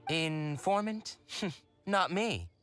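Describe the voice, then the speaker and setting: A woman voicing a cagey, teasing undercover criminal informant in a video game, with a dry, coy, deliberate delivery. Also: low voice, animated, a bit bright, soft background music, exaggerated voice.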